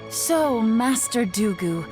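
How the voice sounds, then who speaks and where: Composed, cunning investigator, music behind. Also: female voice, a little high, lively intonation. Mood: flattering. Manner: polite, measured, coaxing. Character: composed, cunning woman investigator slipping between cover identities. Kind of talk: video game voice acting. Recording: background music under voice. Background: game music.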